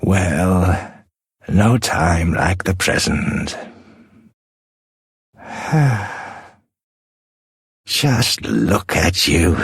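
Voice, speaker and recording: Gravelly old British grandfather. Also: low-pitched, no room sound, studio quality, exaggerated voice. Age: older. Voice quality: gravelly, husky. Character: fond, proud old grandfather of a young hero. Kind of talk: scripted video game voice acting.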